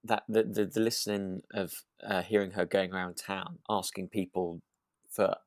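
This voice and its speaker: A man with a low voice chats as a podcast co-host. He rambles a little and feels his way through each thought, recorded dry and close. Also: flat delivery, moderate pace, no room sound. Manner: hesitant, rambling, thinking aloud. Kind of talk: relaxed chat reviewing a radio drama.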